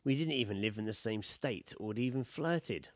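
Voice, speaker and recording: A man's voice: medium pitch, lively intonation, normal speed, dry recording, studio quality. A British man co-hosting a podcast, bantering casually and reading out stories in a blunt, sweary, laddish way. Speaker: podcast co-host.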